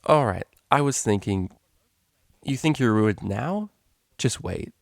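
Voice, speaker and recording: A man's voice: lowish voice, lively intonation, slow pace, vocal fry, no echo, heavily acted. Mischievous storybook unicorn mare DJ, casual and teasing, read by a man in a dry, close recording. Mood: sly. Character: cheeky mischievous female unicorn DJ pony.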